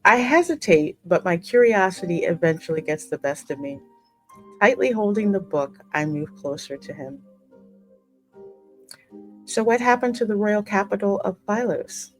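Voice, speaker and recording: A woman hosting a gaming channel, reading game dialogue aloud in an expressive, theatrical way with casual reactions. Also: medium pitch, moderate pace, low-quality recording. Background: game music.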